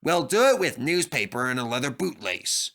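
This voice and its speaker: Man as a blunt, forceful train driver. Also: medium pitch, sing-song, normal speed. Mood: practical. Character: gruff, exasperated male train driver.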